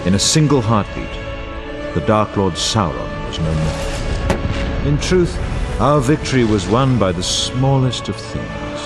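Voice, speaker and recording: An actor playing a solemn, grave war chronicler, narrating a fantasy game in a grandiose, formal and portentous way. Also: male voice, fairly low pitch, wildly swooping pitch, slow, creaky, full character voice. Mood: solemn, reverent. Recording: orchestral music bed under voice. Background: music.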